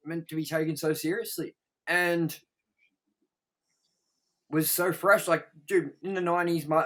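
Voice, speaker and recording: Bright-voiced, emphatic man chatting. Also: a little high. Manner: emphatic, sweary, opinionated. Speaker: review show co-host. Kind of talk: casual movie review chat.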